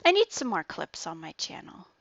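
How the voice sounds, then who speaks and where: A woman's voice: lowish voice, wildly swooping pitch, moderate pace, vocal fry, fairly bright tone, no room sound, lo-fi sound. A woman with an Ontario Canadian accent chatting casually while streaming a game. She laughs often and trails off mid-sentence.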